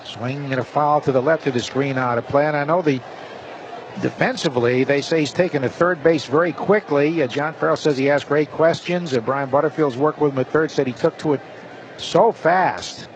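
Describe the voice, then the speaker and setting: Higher-voiced man calling baseball play-by-play. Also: normal speed. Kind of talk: live sports commentary. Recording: clear outdoor ballpark noise. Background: outdoor stadium ambience.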